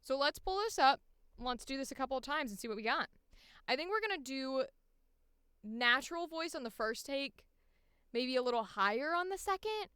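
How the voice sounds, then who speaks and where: Bright, chatty woman thinking aloud. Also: sits high, normal speed, dry recording, studio quality. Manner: animated thinking aloud, informal, sweary. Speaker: voice actor recording auditions. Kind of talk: casual livestream chat.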